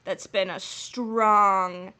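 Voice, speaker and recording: Bright-voiced woman podcast co-host. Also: medium pitch, fairly even intonation, extremely slow, clean tone. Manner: laughing, joking, rambling. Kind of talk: casual chat and episode summary.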